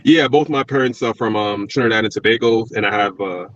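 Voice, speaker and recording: A man's voice: fairly low pitch, brisk, fairly bright tone, dry recording, lo-fi sound. A man with a Brooklyn accent, a former wrestler being interviewed, talking reflectively with many restarts and fillers. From Brooklyn, New York. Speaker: guest, former pro wrestler. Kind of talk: casual interview talk.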